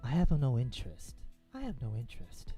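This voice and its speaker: A man voicing a shy, reluctant male high school senior in a visual novel, husky and laconic. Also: wildly swooping pitch, brisk, dark tone. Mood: dry, unimpressed. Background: background game music.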